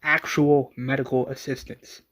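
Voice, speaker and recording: A man voicing a resolute, secretive woman fighter in a story, with a quiet and measured delivery. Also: medium pitch, little pitch movement, close to an everyday voice, poor audio. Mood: calm, assured.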